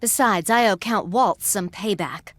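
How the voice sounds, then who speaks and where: A woman's voice: mid-range voice, a little slow, no echo, close to an everyday voice. A young woman acting a spirited, straight-talking goatherd in a video game cutscene. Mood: breezy, confident.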